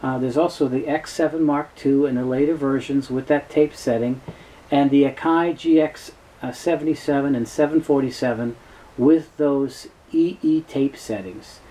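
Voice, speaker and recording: A man's voice: medium pitch, steady tone, moderate pace, mellow, low-quality recording. A middle-aged man giving an informal, matter-of-fact product explanation as a presenter. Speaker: presenter, tape seller. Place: small cluttered indoor room full of shelves and tapes.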